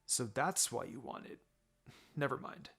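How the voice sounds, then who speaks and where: A man's voice: mid-range voice, animated, a little slow, vocal fry, a bit bright, husky, exaggerated voice. A man voicing a cool young male ninja in a read-aloud anime story, understated and sarcastic. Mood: surprised, subdued.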